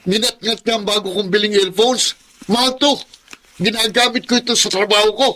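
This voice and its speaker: A man's voice: high voice, fairly even intonation, fairly quick, sharp tone. A man playing an irritated, bossy older brother in a Filipino radio drama, accusing and indignant, with a Filipino accent. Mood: angry, accusing.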